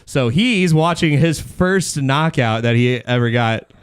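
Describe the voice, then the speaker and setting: A man's voice: medium pitch, lively intonation, unhurried, clear, resonant tone, no echo, clean studio sound. A man hosting a podcast in a casual chat, talking in loose slang with swearing and frequent laughter. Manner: slangy and profane, often laughing. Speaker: podcast host.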